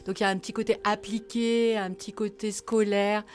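A woman's voice: mid-range voice, flat delivery, fairly quick, faint music behind. A woman, a magazine editor, reviewing a film on radio in a thoughtful, critical way, with occasional stammering.

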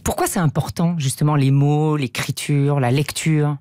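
Interviewer firing off questions, mid pitch, dry close mic. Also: steady tone, darkish tone, clear, resonant tone, no echo. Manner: brisk, prompting questions. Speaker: interviewer host.

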